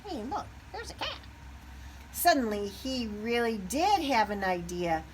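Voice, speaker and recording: A woman reading a children's picture book aloud expressively, pausing to put questions to young listeners. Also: a little high, very animated, a little hiss or hum.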